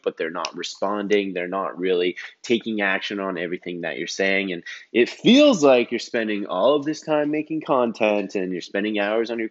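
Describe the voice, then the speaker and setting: Low-voiced energetic man hosting a podcast. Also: dull audio. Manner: energetic, emphatic, repeats words for stress. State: groggy morning voice. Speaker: podcast host. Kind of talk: casual solo podcast coaching talk.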